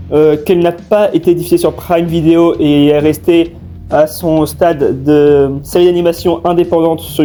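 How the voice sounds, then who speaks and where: A young man giving an informal, halting explanation as a fan dub creator, answering questions. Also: fairly high pitch, dark tone. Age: young adult. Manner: hesitant, halting delivery. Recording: faint music behind.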